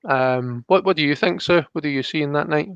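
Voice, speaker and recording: A man's voice: on the high side, creaky, fairly bright tone, clean tone, no room sound. Man thinking aloud, slightly muffled audio. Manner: halting, thinking aloud, explanatory. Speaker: host of a live tarot reading. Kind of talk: casual live card reading discussion.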